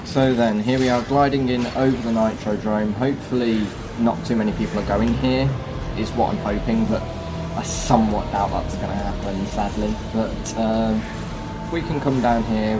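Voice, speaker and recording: A man's voice: lowish voice, background noise. A man hosting a gaming video, giving casual, chatty guide commentary and explaining as he goes. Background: music.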